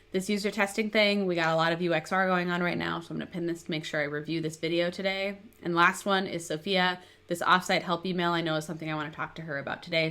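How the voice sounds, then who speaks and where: A woman with a slightly low voice walks through a software tutorial. She explains each step in a conversational way, as if thinking aloud while presenting. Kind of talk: casual screen-share tutorial narration.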